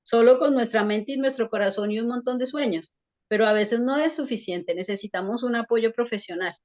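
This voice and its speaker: A woman's voice: fairly high pitch, fairly quick, darkish tone. A psychologist being interviewed, explaining her points thoughtfully with frequent hesitations and restarts. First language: Spanish. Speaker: psychologist guest. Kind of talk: conversational interview answers.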